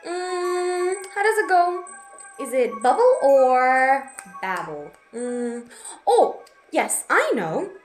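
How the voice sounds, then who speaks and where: A young, scatterbrained storybook witch girl voiced by a woman, very high and swooping in pitch. She is eager and exclamatory, hesitating and fretting over her words. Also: big pitch swings, slow pace, soft background music, heavily acted. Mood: proud, pondering.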